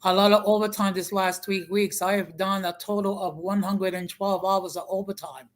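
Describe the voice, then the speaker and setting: Measured, emphatic woman. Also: medium pitch, fairly even intonation. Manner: measured, emphatic, explaining point by point. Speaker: interview partner. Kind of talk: casual online conversation. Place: New York.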